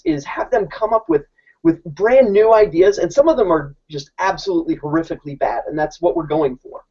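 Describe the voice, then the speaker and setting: A man's voice: fairly high pitch, a little mellow. A man, a teacher, explaining how his classroom works in a casual, informal way, often restarting his sentences. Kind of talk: casual presentation. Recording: dull lo-fi recording.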